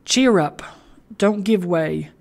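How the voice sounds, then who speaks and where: A kindly, poor old father from a storybook, acted out by a narrator, with a creaky, gravelly voice and an unhurried pace. He is cheery and eager, rambling on and tripping over his own words in a flustered way. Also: mid-range voice, darkish tone, voice pushed up. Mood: hearty, reassuring. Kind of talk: dramatised storybook reading.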